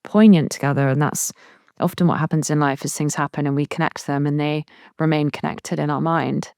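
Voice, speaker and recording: Woman interviewer, slightly low pitch, conversational, appreciative, dry close sound. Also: fairly even intonation, brisk, darkish tone, clean tone, no echo. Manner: effusive, appreciative.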